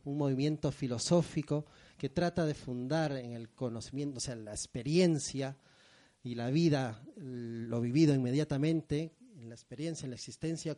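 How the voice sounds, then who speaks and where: A man's voice: medium pitch, warm tone. A middle-aged man from Peru hosting a live poetry segment before an audience, moving from theatrical introductions to loose, self-mocking asides.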